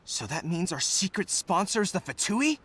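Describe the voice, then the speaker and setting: An actor playing a loyal, serious young male general who reports in a measured way. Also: sits high, very animated, fairly bright tone, a little hiss or hum. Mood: troubled. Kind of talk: game voice acting. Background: game music.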